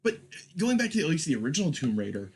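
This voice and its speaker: A man's voice: on the high side, very animated, fast talker. A man co-hosting a casual podcast discussion, explaining his opinions in a conversational way. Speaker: podcast co-host.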